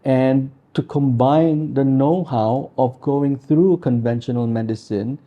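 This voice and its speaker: A man in his forties from the Philippines, a doctor answering interview questions in a measured, explanatory way. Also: mid-range voice, phone-quality sound. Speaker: integrative medicine doctor.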